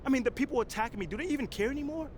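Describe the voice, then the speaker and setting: A man's voice: very high voice, very fast, a little hiss or hum. A man playing a driven, proud young American pro basketball player in a game cutscene, speaking earnestly and colloquially with emphasis. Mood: fired up, indignant. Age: young adult. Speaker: video game actor playing the lead character. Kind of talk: naturalistic cutscene acting, impassioned speech.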